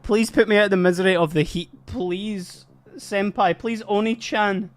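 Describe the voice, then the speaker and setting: A live-streaming miniature painter chatting casually and giggling a lot while painting, a little hoarse from laughing. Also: mid-range voice, fairly even intonation, normal speed. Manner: chatty, giggly, rambling, addresses chat.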